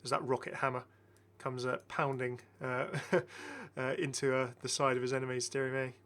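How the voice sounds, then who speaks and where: Bright-voiced man, chatty gaming host. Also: medium pitch, level tone, normal speed. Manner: chatty, appreciative, rambling. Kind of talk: casual enthusiastic game commentary.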